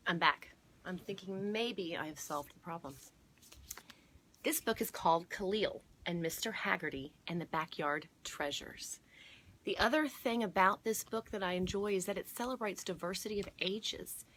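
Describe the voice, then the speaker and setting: A woman hosting a children's storytime, reading aloud and pausing to explain and point things out to her listeners. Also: lowish voice, fairly bright tone, a little hiss or hum. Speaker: storytime host at a museum garden. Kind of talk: read-aloud storytime for children with chatty asides.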